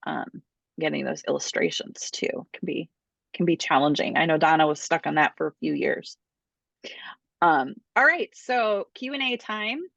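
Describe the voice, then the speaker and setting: A woman's voice: low-pitched, vocal fry, fairly bright tone, no room sound. A woman hosting a casual online Q&A class, talking informally over a video call. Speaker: host of a book publishing Q&A class. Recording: dull lo-fi video call audio.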